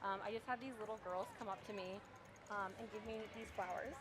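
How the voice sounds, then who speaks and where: A woman's voice: mid-range voice, fairly even intonation, quick pace. A woman with Romanian as her first language, chatting and laughing while live-streaming a mobile game outdoors in a town square. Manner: chatty, laughs often, reads chat aloud. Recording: street noise behind.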